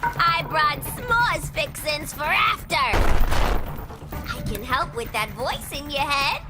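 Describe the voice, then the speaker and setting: A woman's voice: high voice, lively intonation, slow pace. A woman playing a crazy, mischievous, teasing young antihero in a video game. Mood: playful. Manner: sassy, teasing, slangy. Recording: game audio under loud music.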